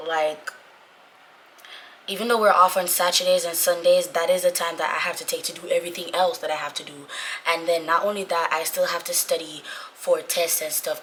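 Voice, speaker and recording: Raspy young woman vlogging, bright and forceful. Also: low-pitched, slight background noise, rough recording. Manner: informal, repetitive for emphasis. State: sick, voice going away. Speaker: vlogger, Navy corpsman trainee. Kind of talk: casual chatty vlog talk to viewers.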